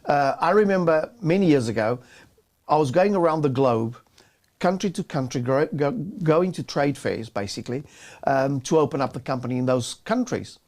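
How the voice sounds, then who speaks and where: A man with a fairly high voice co-hosts a relaxed business talk. He speaks in halting bursts, often restarting and repeating words before getting his point out. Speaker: co-host. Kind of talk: casual business chat.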